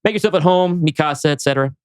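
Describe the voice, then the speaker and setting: A man's voice: fairly high pitch, very fast, clean tone, no echo, clean studio sound, pitched above the performer's own voice, natural voice. A man reading the part of a breezy, slangy buddy in a science-fiction script, with a flippant manner. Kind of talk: script table read.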